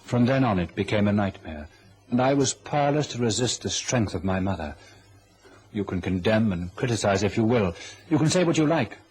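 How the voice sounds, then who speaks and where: A man's voice: on the low side, expressive, normal speed, a little mellow, husky, poor audio. An actor playing a young, sensitive son cowed by his mother, earnest and anguished in an old radio drama. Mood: regretful. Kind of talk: old-time radio drama acting and confessional narration.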